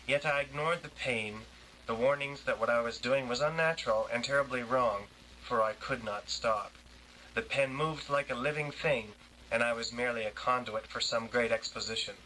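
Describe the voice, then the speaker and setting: Bright-voiced man reading dramatic prose. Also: mid-range voice, moderate pace, slight background noise, low-quality recording. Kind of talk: reading a dramatic first-person prose piece.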